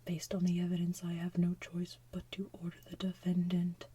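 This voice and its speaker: A woman's voice: on the low side, little pitch movement, dark tone, husky, exaggerated voice. A woman voicing a stern male judge, drawing out a grave sentence. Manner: slow, drawn-out, grave. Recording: faint hiss, off-mic.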